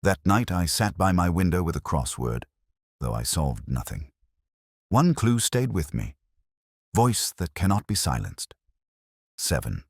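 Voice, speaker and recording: A man narrating a scripted first-person detective story in a measured, brooding, literary manner. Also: deep voice, big pitch swings, vocal fry, breathy edge, no echo, clean studio sound.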